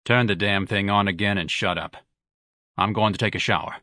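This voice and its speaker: A man voicing a weary, irritable middle-aged husband who answers in a flat, curt, low voice. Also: a little fast, dry recording, clean studio sound.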